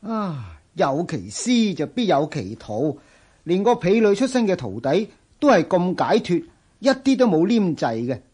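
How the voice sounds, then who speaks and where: An actor playing a jovial, easygoing monk companion in a radio drama, teasing and exclamatory, with a Hong Kong Cantonese accent. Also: male voice, high-pitched, sing-song, a little slow, dark tone, slightly rough, heavily acted. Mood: admiring, easygoing.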